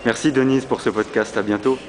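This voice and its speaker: A man's voice: medium pitch, fairly even intonation, a little fast, crowd noise. A man hosting a podcast interview, speaking hesitantly with many fillers and repeated words. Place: busy convention hall. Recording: noisy convention floor.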